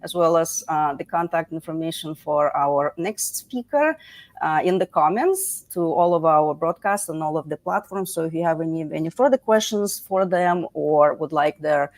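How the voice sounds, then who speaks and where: Chatty woman host, lowish voice. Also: moderate pace, dry recording. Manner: chatty, filler-heavy, with many "you know"s. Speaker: livestream host. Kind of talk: hosting a live online talk. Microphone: video call.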